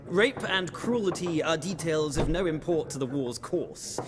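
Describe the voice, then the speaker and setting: An actor playing a pompous, eager, bookish scholar in a video game, speaking in a florid and theatrical manner. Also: male voice, a little high, measured pace, noisy background, natural voice. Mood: superior, smug. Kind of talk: video game character dialogue. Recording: crowd chatter behind the voice.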